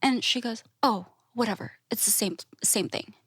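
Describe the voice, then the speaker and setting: A woman's voice: fairly high pitch, moderate pace, fairly bright tone, breathy edge, no echo, clean studio sound. A woman from Thailand speaking candidly and informally as a podcast guest, telling stories and often voicing quoted remarks. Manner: informal and outspoken, with frequent "like" and acted-out quotes. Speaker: podcast guest, classical musician and educator. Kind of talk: candid conversational storytelling.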